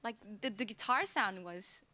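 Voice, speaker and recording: A woman musician chatting as a guest, talking haltingly and searching for words with frequent giggles. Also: on the high side, animated, pushed and bright. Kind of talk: casual interview chat.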